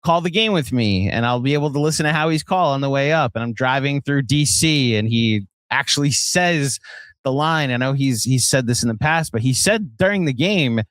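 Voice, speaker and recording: An American man from Virginia talks sports as a podcast co-host, with a mid-pitched voice. He tells stories in a loose, conversational ramble. The sound is dry, with hardly any room in it. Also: lively intonation, moderate pace, fairly bright tone, dry recording. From Virginia, United States. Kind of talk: casual sports chat.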